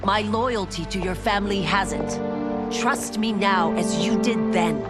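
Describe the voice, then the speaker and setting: A woman's voice: medium pitch, measured pace, close to an everyday voice. An actress playing a loyal, steadfast female warrior in a video game cutscene, speaking earnestly and respectfully. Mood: earnest, pleading. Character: loyal, steadfast female warrior, old friend of royal family. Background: music.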